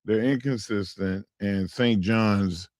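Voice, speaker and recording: A man co-hosting sports talk radio with a hoarse voice, speaking in halting bursts broken by fillers and restarts. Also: fairly low pitch, darkish tone, no room sound, studio quality. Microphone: studio broadcast mic.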